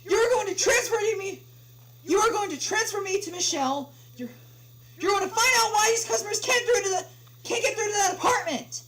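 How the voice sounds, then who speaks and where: A woman voicing an irate, indignant male customer on a support call, loud and strained, demanding things over and over. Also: very high voice, fairly quick, crowd noise, voice pushed up. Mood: outraged. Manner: indignant, repeating demands with rising insistence. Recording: clearly noisy home livestream with hum.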